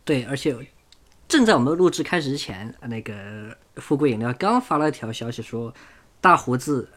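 A man's voice: mid-range voice, expressive, moderate pace, a bit bright. A man co-hosting a motorsport podcast in casual conversation. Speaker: podcast co-host.

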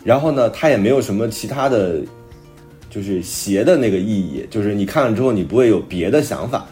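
A man's voice: on the low side, lively intonation, a little fast, warm tone, faint music behind. A middle-aged man talking casually as a novelist, telling stories and acting out bits of quoted conversation. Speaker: novelist guest discussing own book.

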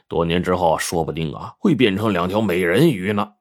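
A menacing crime boss, acted out by a male storyteller for an audio story. The voice is low and husky, swinging freely as he sneers. He swaggers and gloats through mock-friendly threats. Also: expressive, brisk, fairly bright tone, exaggerated voice. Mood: cold mockery.

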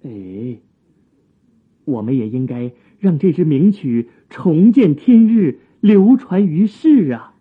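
An actor playing an earnest young court musician of old China in a Chinese radio drama, husky and measured, with literary diction. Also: male voice, fairly high pitch, big pitch swings, exaggerated voice. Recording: muffled narrow-band, music behind.

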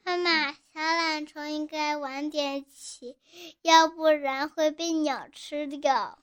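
A small, stubborn child in a comic bedtime sketch. The voice is very high and bright, with slow, unhurried speech and a whiny, innocent-sounding cheek. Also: female voice, fairly even intonation. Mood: cheeky, stubborn.

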